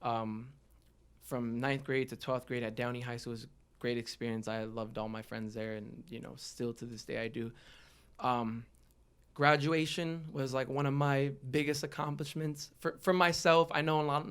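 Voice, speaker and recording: Clear-voiced young Southern California man. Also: mid-range voice, moderate pace, a bit bright. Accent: Southern California American. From Los Angeles area, United States. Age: young adult. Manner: open, informal, slangy. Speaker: podcast guest, rapper. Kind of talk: casual personal interview storytelling.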